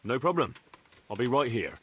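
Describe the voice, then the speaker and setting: A man's voice: medium pitch, very animated, moderate pace, a bit bright, husky. An actor playing a young medieval man in a video game, plain-spoken, dutiful and respectful to his betters. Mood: obliging. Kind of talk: video game cutscene acting.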